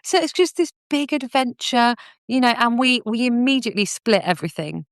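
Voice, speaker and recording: A woman's voice: a little high, normal speed, no room sound, studio quality. A British woman telling her story casually as a podcast guest. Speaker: podcast guest, business owner.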